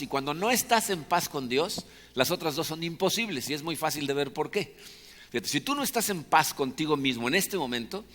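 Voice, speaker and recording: Mexican pastor preaching in a reverberant hall. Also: male voice, on the high side, fairly bright tone. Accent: Mexican Spanish. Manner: conversational preaching, asks "¿no?" often. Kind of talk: sermon. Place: church hall.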